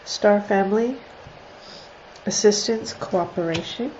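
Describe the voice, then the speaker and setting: A woman's voice: medium pitch, takes it slowly. A congested woman with a nasal voice, casually reading oracle cards on a livestream, chatty, thinking aloud and laughing often. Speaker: oracle card reader. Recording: slightly dull lo-fi sound with faint noise.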